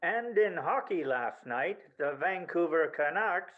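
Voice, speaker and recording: A man's voice: a little high, lively intonation, a little slow. Tinny radio sportscaster, acted by a man. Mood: measured. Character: male radio sports newsreader, measured and formal. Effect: radio filter. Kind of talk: radio bulletin in a radio play. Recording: tinny car radio sound.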